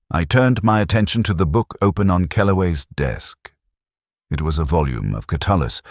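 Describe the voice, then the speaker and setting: Male narrator for detective fiction: very low pitch, expressive intonation, reflective and measured, close dry sound. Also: dry recording. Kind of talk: scripted first-person detective story narration.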